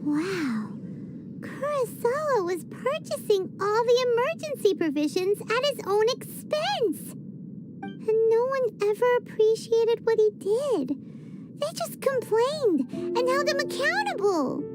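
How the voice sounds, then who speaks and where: A small childlike fairy companion from a video game. The voice is extremely high and swoops around with eager, blunt curiosity. Prominent music plays behind it. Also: female voice, very animated, slow pace, heavily acted. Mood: sympathetic. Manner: exclamatory, eager questioning.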